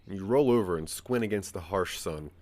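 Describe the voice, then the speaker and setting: A man's voice: deep voice, normal speed, a little mellow. A man narrating a scripted audio drama in the second person, with a dramatic storytelling manner.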